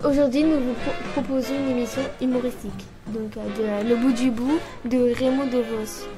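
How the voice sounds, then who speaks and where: A female radio presenter reads a scripted introduction in a high voice, stumbling as she goes. Loud music plays behind her. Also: fairly even intonation. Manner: halting reading with stumbles.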